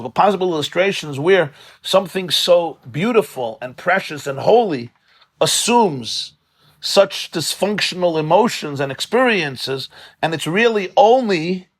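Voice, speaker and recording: A man, a rabbi, giving an informal religious lecture in a study hall, impassioned and conversational, repeating words for emphasis and mixing in Yiddish and Hebrew terms. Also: a little high. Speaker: rabbi teaching a class.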